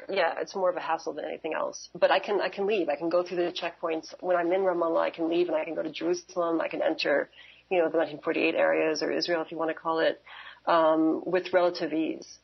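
A woman in her forties speaks in a low register with a lot of creak. She answers interview questions thoughtfully and hesitantly, often reworking her phrases. The recording is slightly dull. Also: fairly even intonation, fairly quick. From Canada and Kuwait. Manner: hesitant, reflective, often rephrasing. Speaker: interview guest, museum professional.

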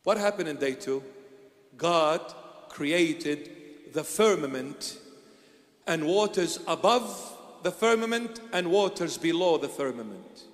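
Older Iraqi-accented bishop preaching slowly. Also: male voice, a little high, animated. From Iraq. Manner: emphatic, rhetorical questions answered himself, swings between loud and hushed. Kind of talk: sermon. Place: church altar, indoors. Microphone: handheld mic. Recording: long church reverb.

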